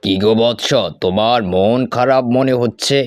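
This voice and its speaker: A man's voice: medium pitch, moderate pace, darkish tone, clear, resonant tone, no room sound, clean studio sound, natural voice. A man voicing a sly, grandly avuncular hermit who is secretly a king, in a cartoon story. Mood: coaxing, avuncular. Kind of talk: animated story character acting.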